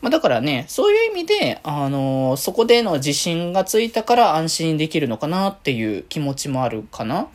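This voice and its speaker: A man presents a casual fan podcast on his own. His intonation is very animated, rising and falling widely. He thinks aloud as he goes, halting often and stretching out his hesitations. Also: fairly high pitch. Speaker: podcast host.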